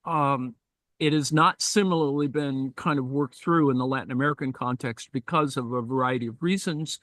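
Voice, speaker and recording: Discussion leader, male, mid-pitched, dry close sound; reads a text aloud and pauses to comment, with halting, filler-heavy speech. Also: fairly bright tone, no room sound. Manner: thoughtful, halting, many fillers and asides. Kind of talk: reading and commenting in a live-streamed discussion.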